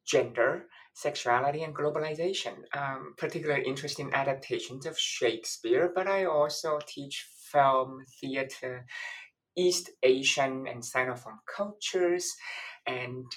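A woman's voice: rumbling low, measured pace, low-quality recording. Woman prof from taiwan, talks through ideas in lists, restarts a lot, podcast interview. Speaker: professor, author. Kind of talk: academic interview answers.

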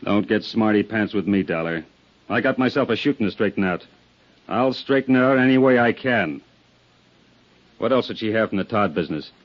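An actor playing a gruff, suspicious police sergeant in 1950s radio drama, blunt, sarcastic and pressing. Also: male voice, lowish voice, animated, brisk. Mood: hard, sarcastic. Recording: dull 1950s radio recording.

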